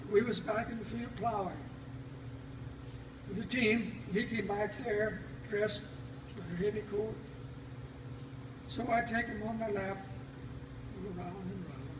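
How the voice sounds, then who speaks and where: An older man telling family stories informally, in a folksy, halting, reminiscing way. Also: sits high. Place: family gathering, recorded from a distance. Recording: roomy, distant mic, loud background noise.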